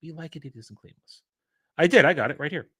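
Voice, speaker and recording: A man hosting a casual livestream, rambling and excitable, restarting his sentences often. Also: fairly high pitch, fast talker, slightly rough, no room sound, studio quality. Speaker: livestream host.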